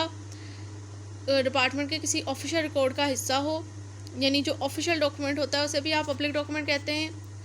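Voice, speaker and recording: A woman with a distinctly high voice and a Pakistani accent teaches law. She explains point by point in lecture style, dropping English legal terms into her sentences. Some background noise sits behind her voice. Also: a bit bright.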